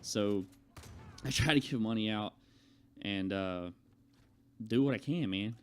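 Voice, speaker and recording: A man's voice: fairly low pitch, takes it slowly, slight background noise. Casual man rambling through personal stories. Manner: colloquial, conversational, acts out quoted exchanges. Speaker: YouTuber telling personal stories to viewers.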